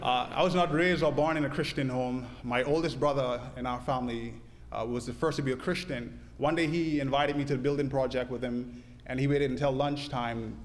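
A man's voice: medium pitch, fairly even intonation, brisk. A man in his early twenties with a Bahamian accent, speaking to a congregation as interpreter and testimony-giver. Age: early 20s. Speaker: church interpreter and guest preacher. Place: church hall. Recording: echoey church hall, long reverb.

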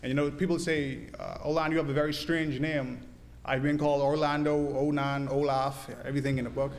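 Quick young Bahamian man in an echoey church. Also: on the high side, level tone. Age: early 20s. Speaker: church interpreter and guest preacher. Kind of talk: interpreting and giving testimony to a congregation. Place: church hall. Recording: echoey church hall, long reverb.

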